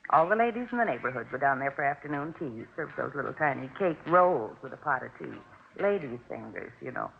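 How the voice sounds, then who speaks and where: A woman's voice: rumbling low, sing-song, brisk, gravelly, slight background noise, full character voice. An actress playing a chatty, insistent older American neighbour woman in a 1950s radio drama, folksy and fretful, on a tinny old recording. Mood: chatty, worried. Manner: talkative, folksy, emphatic.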